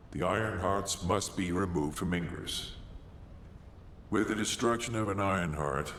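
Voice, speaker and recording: An actor playing a solemn, wise male guide of warriors in a video game, speaking in a grave, measured, formal way. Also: low-pitched, vocal fry, exaggerated voice. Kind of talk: scripted video game dialogue. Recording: roomy long reverb, faint noise.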